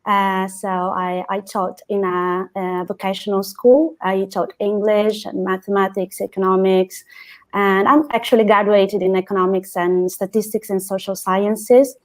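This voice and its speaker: A woman's voice: medium pitch, flat delivery, a little slow. A woman from Italy with an Italian accent, a teacher speaking as a roundtable guest in hesitant English. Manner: hesitant, laughs between phrases. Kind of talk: roundtable discussion.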